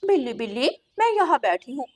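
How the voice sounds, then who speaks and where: A woman's voice: high-pitched, sing-song, a little fast. A woman voicing a greedy, trusting female crow in a children's cartoon story, with a naive and eager manner. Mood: nervous. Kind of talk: children's animated story dialogue.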